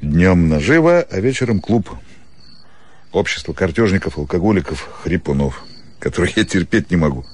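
A man acting a weary, disillusioned provincial doctor in a radio play, grumbling and sighing through drawn-out complaints. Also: low voice, sing-song, darkish tone, slight background noise. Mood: weary, bitter.

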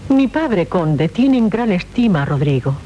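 An actress playing an imperious, gracious young Castilian princess in a radio drama, regal and assured, with a Castilian Spanish accent. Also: female voice, medium pitch, very animated, unhurried, dark tone. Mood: earnest. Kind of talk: 1970s radio drama acting. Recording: dull, noisy 1970s radio recording.

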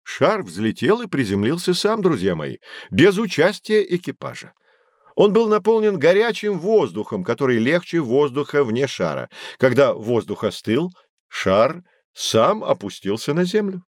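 An actor playing a knowledgeable, patient guide who teaches children in an educational audio drama, with a didactic, emphatic delivery. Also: male voice, on the high side, sing-song, unhurried, dry recording, studio quality. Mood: patient, explanatory.

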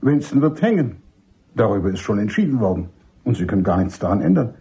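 A man playing an older army major and doctor in a radio drama, husky, emphatic and cynical, courteous but dismissive. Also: lowish voice, sing-song, quick pace, warm tone, exaggerated voice. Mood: firm, scornful. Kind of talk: German radio drama acting.

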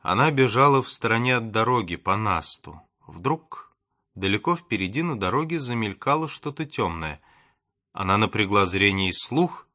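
A man's voice: on the low side, lively intonation, moderate pace, pushed and bright, no echo, clean studio sound. A man reading a classic story as an audiobook narrator, expressively, with dramatic and varied emphasis.

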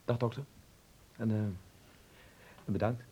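An actor playing an earnest, defiant teenage son in a Dutch radio play, hesitant and stammering at times, then insistent. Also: male voice, medium pitch, flat delivery, measured pace, warm tone, breathy edge, highs cut off. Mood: eager.

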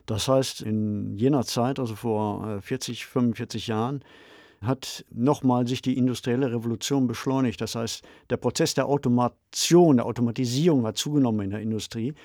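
Male co-host, mid-range voice, measured reflective discussion, dry studio sound. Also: medium pitch, fairly even intonation, dark tone, no echo. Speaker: podcast co-host. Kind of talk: reflective discussion of a radio play.

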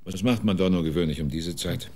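A terse, persistent private eye, very low husky voice; radio play. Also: male voice, a little fast, dark tone. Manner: terse, probing questions. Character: private detective Carvalho, dry, persistent. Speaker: detective. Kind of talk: German radio drama acting.

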